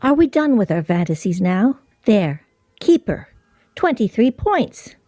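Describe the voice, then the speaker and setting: Lively, mellow-voiced teasing woman played by an actress. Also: mid-range voice, a little slow, no echo, studio quality. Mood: brisk. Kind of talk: radio play acting.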